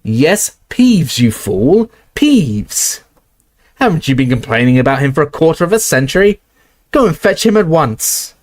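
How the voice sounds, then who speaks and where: A man's voice: mid-range voice, expressive, moderate pace, a little mellow, no echo, lightly acted. A man voicing a stern, commanding older female professor with a clipped, imperious manner. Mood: shouting.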